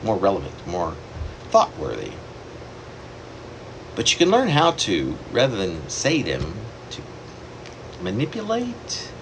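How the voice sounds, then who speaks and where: A man reading his own poem aloud in a measured, reflective way, pausing deliberately between phrases. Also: on the low side. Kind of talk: poetry reading. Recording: steady air-conditioner hum behind the voice.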